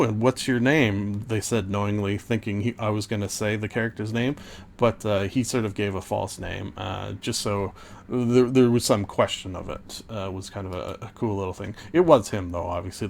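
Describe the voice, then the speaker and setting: A man's voice: fairly low pitch, slight background noise. Rambling man recapping a tabletop game. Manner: rambling, many fillers and self-corrections. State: losing his voice. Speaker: podcast host and game master. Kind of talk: casual recap of a tabletop campaign.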